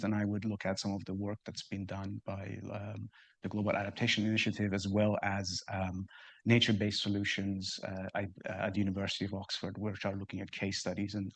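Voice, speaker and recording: A man's voice: fairly low pitch, husky. A man presenting at a seminar and answering questions in a hesitant way, often stammering and repeating words as he goes. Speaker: research fellow presenting seminar. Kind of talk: seminar talk and audience Q&A.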